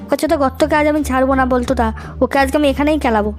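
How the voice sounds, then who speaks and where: A man voicing a hapless, hot-headed young troublemaker in an amateur comedy cartoon, whiny and excitable, with a raised-pitch effect. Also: rapid-fire, faint music behind. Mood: quarrelsome.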